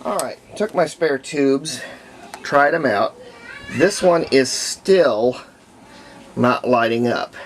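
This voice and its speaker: A man narrating casual hands-on repair work, thinking aloud in short, halting phrases. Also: medium pitch, lively intonation, slight background noise. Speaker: hobbyist demonstrating antique radio repair. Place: room at home, indoors.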